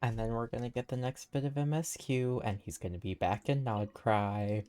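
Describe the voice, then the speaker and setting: A woman's voice: rumbling low, normal speed, dark tone, faint music behind. A woman giving casual commentary as she streams a game.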